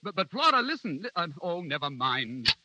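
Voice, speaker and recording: An actor playing a flustered, remorseful husband torn between two women, stammering and impassioned in the manner of 1930s radio drama. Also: male voice, a little high, sing-song, a little fast, background noise. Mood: flustered, apologetic. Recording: muffled 1930s radio recording.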